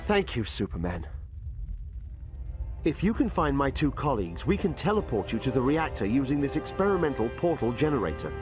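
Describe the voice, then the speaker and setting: An actor playing a nervous scientist in a video game, explaining things urgently. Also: male voice, medium pitch, very animated, brisk, over music, natural voice. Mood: anxious, urgent. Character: nervous male scientist giving urgent instructions. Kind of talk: video game cutscene acting.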